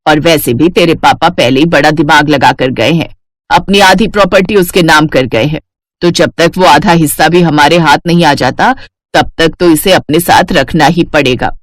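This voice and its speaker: A woman's voice: low voice, moderate pace, no echo, pitched below the performer's own voice. A woman voicing a scheming, contemptuous middle-aged stepmother in a cartoon story, sly, bossy and coaxing. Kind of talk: Hindi cartoon story character acting.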